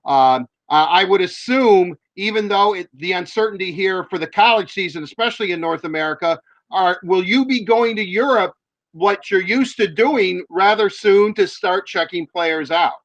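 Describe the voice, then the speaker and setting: A man's voice: sits high, moderate pace, fairly bright tone. A man co-hosting a show, asking a long, rambling interview question with some hesitation. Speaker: radio show co-host. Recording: dull lo-fi sound.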